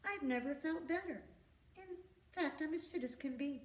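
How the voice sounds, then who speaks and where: A woman's voice: high-pitched, moderate pace, pitched above the performer's own voice, natural voice. A woman voicing a carefree, idle young doozer boy from a storybook, in a casual, unbothered way. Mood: carefree, puzzled. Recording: echoey room, distant from the mic.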